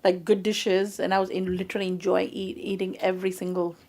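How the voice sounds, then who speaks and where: Woman, chatty, animated storyteller, mid pitch, relaxed conversation. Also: fairly quick. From England. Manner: chatty, animated storyteller who acts out quoted speech. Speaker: guest.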